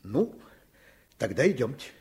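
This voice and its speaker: An actor playing a kindly, stubborn middle-aged doctor and father, husky and courteous, in a radio drama. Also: medium pitch, big pitch swings, a little slow, heavily acted. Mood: brisk, resolute. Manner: gentle, repeats words, courteous. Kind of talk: Soviet radio drama acting.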